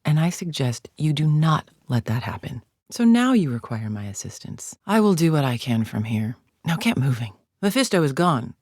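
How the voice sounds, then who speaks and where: A woman's voice: extremely low pitch, darkish tone, no room sound, clean studio sound, exaggerated voice. A woman voicing a haughty, contemptuous alien warrior champion in a video game, with cold, formal, imperious diction. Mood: scornful briefing. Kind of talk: scripted video game character acting.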